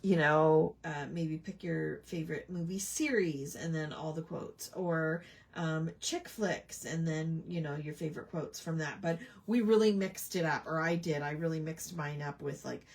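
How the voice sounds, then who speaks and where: A woman's voice: low-pitched, steady tone, normal speed, hardly any pauses, a little mellow. A woman hosting a casual crafting livestream, rambling and chatting to viewers while she works at home. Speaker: craft channel host.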